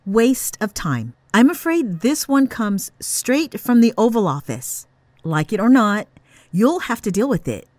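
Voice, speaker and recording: An actress playing an authoritative, unbending government superior in an audio drama, commanding and dismissive. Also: female voice, fairly high pitch, very animated, a little slow, darkish tone, no echo.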